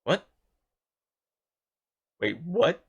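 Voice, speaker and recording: A woman streamer reacting casually to a game, thinking aloud in halting, repetitive fragments.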